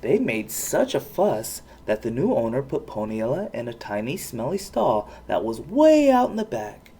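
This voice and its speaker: A parent reading a children's storybook aloud with expressive narration. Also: mid-range voice, normal speed, husky.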